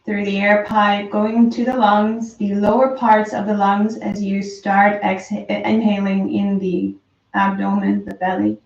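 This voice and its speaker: A woman slowly and softly guiding a breathing meditation, calm with long pauses. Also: mid-range voice. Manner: slow, soft, calm guidance with long pauses. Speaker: meditation teacher. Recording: roomy, distant, dull lo-fi sound.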